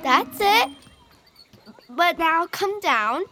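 A woman's voice: extremely high pitch, animated, very slow, over music, full character voice. A female performer playing a sweet, persistent little girl, polite and coaxing, in an audio drama. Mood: encouraging. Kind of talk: audio fiction acting.

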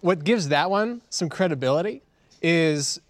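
A man's voice: fairly high pitch, lively intonation, a little slow. A man co-hosting a podcast, talking casually with friends. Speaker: podcast co-host. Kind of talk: casual group banter.

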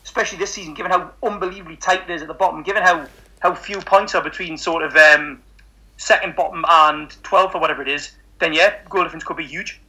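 A man's voice: a little high, fairly even intonation, fast, sharp tone, low-quality recording. A man with a Geordie accent on a football podcast, cutting in eagerly and backing up his point with numbers. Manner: jumps in eagerly, argues his case with figures. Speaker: podcast co-host. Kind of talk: casual football podcast chat.

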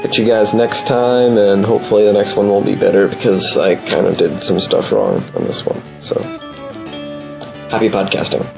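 A man's voice: fairly low pitch, fairly even intonation, moderate pace, vocal fry. A man presenting an informal podcast segment on a dull lo-fi recording. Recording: dull lo-fi audio. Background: loud guitar music.

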